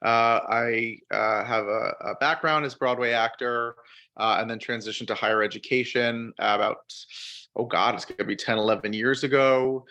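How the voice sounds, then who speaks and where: A man's voice: medium pitch, steady tone, moderate pace, bright, forceful tone, highs cut off. A man from Miami introducing himself to a commission meeting, hesitant with many fillers but friendly. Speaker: commission vice chair, college president.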